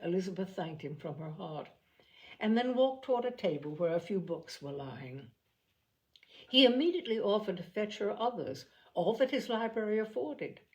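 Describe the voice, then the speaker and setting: A woman reads literary fiction aloud as a storyteller. Her mid-pitched voice moves up and down with lively expression through the narration. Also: moderate pace. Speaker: audiobook narrator.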